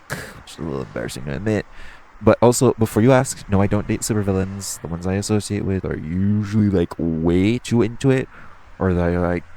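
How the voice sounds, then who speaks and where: A man playing a flirty, teasing, self-assured supervillain thief in an audio roleplay, speaking casually with halting, conversational pauses. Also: sits low, a little mellow, a little hiss or hum, poor audio. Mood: candid, wry. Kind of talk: intimate second-person audio roleplay, conversational acting.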